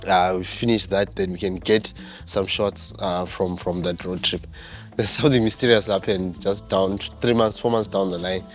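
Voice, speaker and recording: A man's voice: low-pitched, fairly even intonation, moderate pace, soft background music. A man hosting a show, introducing a guest casually with many ums and uhs. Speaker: show host.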